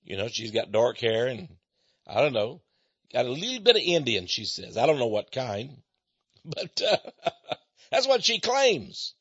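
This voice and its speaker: A man's voice: mid-range voice, very animated, unhurried, pauses often, a bit bright, breathy edge, no room sound, lo-fi sound. An American man giving a rambling personal monologue to his listeners, folksy and digressive, with frequent chuckles. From United States. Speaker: speaker addressing listeners.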